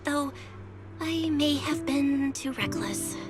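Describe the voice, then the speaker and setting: Kind young manager girl in a game, high soft-mannered voice, calm, faint music under it. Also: slow pace. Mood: earnest, composed. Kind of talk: video game voice acting.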